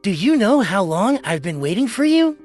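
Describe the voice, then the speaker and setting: Woman playing curt dismissive young boyfriend, animated intonation, medium female-range pitch, comic dub, faint music. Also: normal speed, close to an everyday voice. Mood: cold, impatient. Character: cold, impatient young boyfriend ending a long relationship. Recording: music behind the voice.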